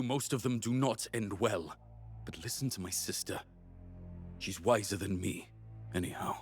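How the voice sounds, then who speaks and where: An ancient wizard for a fantasy game. The voice sits fairly low and moves with grave, measured care. He sounds solemn and regretful, over soft music. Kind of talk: fantasy game cutscene acting.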